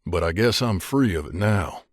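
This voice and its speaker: An actor playing a loyal, wry gunslinger companion in a video game, talking casually and familiarly. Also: male voice, sits low, animated, moderate pace, dry recording, clean studio sound. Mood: sincere. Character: loyal wasteland gunslinger companion man, wry, devoted to his mother.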